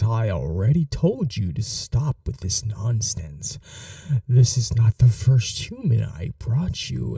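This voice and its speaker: A man playing a possessive, controlling male vampire in an ASMR roleplay, soft-spoken and calmly menacing, slipping into whispers. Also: mid-range voice, creaky, no room sound, far from the mic, full character voice. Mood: coaxing, menacing. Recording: voice a little off-mic, dry.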